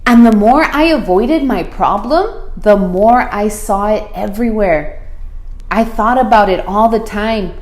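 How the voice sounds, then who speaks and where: A woman reading a picture book aloud to children as a school principal, expressive and deliberate, putting questions to her listeners. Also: medium pitch. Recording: roomy, echoey room sound.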